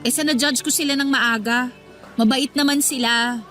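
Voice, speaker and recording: A snippy young Filipino cashier in a radio drama. Her voice sits fairly high and she dodges questions with defensive, sarcastic retorts. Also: fairly even intonation, moderate pace, fairly bright tone, faint music behind. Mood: evasive, irritated. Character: defensive young female supermarket cashier avoiding her crush.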